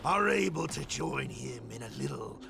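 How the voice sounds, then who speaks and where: An actor voicing a menacing, taunting wolfish beast soldier in a video game, with a gravelly voice. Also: male voice, medium pitch, animated, normal speed, bright, forceful tone, exaggerated voice. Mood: mocking menace. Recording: game music behind.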